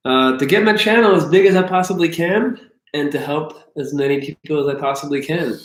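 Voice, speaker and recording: A joking man hosts a live stream and reads viewer questions aloud in a teasing way. His voice sits a little high for a man. The room around him is echoey. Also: fairly quick. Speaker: YouTube host.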